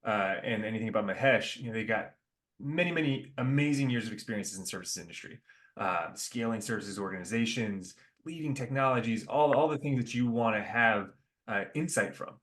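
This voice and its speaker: A man's voice: on the low side, fairly quick, fairly bright tone. A man hosting a business podcast, giving upbeat introductions that sound partly scripted and asking guests questions. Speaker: podcast host.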